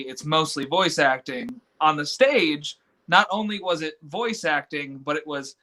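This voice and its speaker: Casting: male interviewee, stage actor, informal, fairly high and bright voice. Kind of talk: casual interview chat. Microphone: video call.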